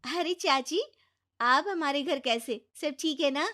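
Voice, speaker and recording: A woman plays a young daughter-in-law from a moral-story cartoon, pitched very high. She is eager to please and oblivious, gushing and exclaiming with theatrical, boastful flair. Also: slow pace, sharp tone, exaggerated voice.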